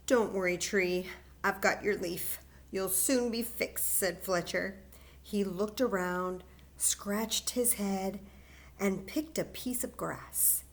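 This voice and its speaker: A woman reading a children's story aloud as a library story time host, expressive and chatting to young listeners with coaxing questions. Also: medium pitch.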